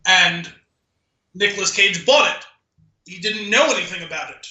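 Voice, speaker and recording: A man's voice: high-pitched, moderate pace, bright, forceful tone, echoey room, poor audio. A man co-hosting a podcast in casual chat, telling stories with offhand profanity. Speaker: podcast co-host.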